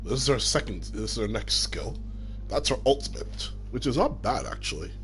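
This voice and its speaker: Animated man casually commentating on a game. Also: lowish voice, moderate pace, a little hiss or hum. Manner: rambling, informal explaining. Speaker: game streamer. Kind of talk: casual live gameplay commentary. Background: game music.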